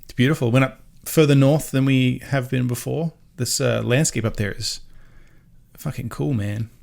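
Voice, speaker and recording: Aussie guy from sydney, accent mostly faded, gravelly voice, rambly podcast chat. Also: mid-range voice, steady tone, normal speed, darkish tone. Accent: mild Australian, softened by years in America. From Sydney, Australia. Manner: rambling, restarts mid-sentence, laughs easily. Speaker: podcast co-host. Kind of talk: casual chat.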